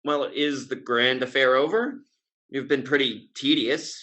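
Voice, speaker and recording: A man performs a witty, amorous gentleman schemer from a Restoration stage comedy. His voice is bright and forward. He speaks ornate period lines with wry, articulate polish. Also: medium pitch, fairly even intonation, measured pace. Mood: cool, commanding. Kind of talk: Restoration comedy play reading.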